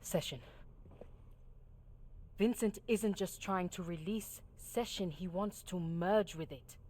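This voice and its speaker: An actress playing a resolute, clever crew leader in a video game, explaining things with measured authority. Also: female voice, on the low side, a little mellow. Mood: grave explaining. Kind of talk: video game cutscene acting.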